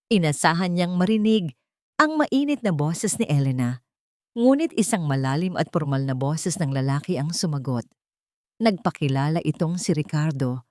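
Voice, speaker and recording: A woman's voice: lowish voice, a little mellow, no room sound, clean studio sound. A woman reading scripted, dramatic love-story narration for an audio drama.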